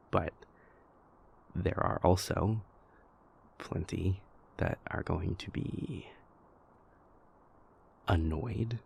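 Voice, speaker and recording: Man acting a husky, halting vampire prince. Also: exaggerated voice. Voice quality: husky, gravelly low voice. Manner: halting, stammering, repeats words, hesitant. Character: anxious, self-doubting male vampire prince confiding in his lover. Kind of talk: intimate audio roleplay monologue.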